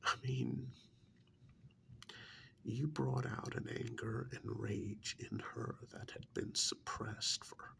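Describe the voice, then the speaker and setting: A calm, menacing vampire emissary, acted by a man, speaks softly in a near whisper with heavy vocal fry. He is slow, deliberate and condescending, as in an intimate ASMR role-play. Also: lowish voice, exaggerated voice. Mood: stern, quietly accusing. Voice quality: breathy, half-whispered, creaky.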